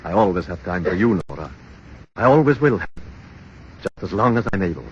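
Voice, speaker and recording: An actor playing a grave, resigned doctor and devoted family friend in 1930s radio drama. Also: male voice, deep voice, expressive, a little fast. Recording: dull, faintly noisy 1930s radio recording.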